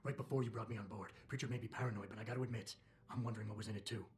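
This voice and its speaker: An actor playing a terse, guarded augmented security chief in a video game, with deadpan, clipped delivery. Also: male voice, on the low side, rapid-fire, a little hiss or hum, close to an everyday voice. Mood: suspicious, probing. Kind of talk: video game cutscene acting.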